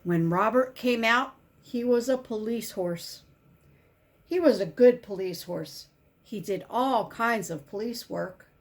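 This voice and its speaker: Female storybook reader, higher register, takes it very slowly, stresses key words for young listeners. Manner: simple, deliberate storytelling with emphasized key words. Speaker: volunteer children's book reader. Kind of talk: expressive picture-book read-aloud.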